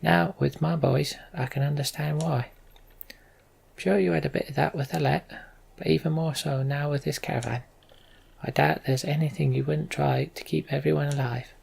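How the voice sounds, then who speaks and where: Man playing warm game archer mother, earnest, gently amused, husky, gravelly. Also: a little high, fairly even intonation, moderate pace, heavily acted. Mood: warm, reflective. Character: warm, teasing archer mother of young boys.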